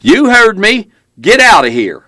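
A man's voice: on the low side, sing-song, no echo, pitched above the performer's own voice. Audiobook role: male narrator as a pushy backwoods lawman, awkward and folksy, slurring and tripping over his words, recorded dry. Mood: belligerent. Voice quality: slurred, far from crisp diction. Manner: folksy, halting, stuttering. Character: belligerent, awkward rural Virginia male cop.

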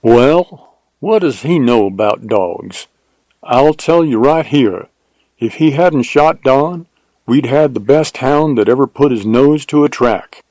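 A man acts out a plainspoken Texan cowboy from a storybook western. The voice sits higher and firmer, with a leisurely drawl that can turn excitable. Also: slow pace, no echo. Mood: opinionated. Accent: Texas. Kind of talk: audiobook character dialogue.

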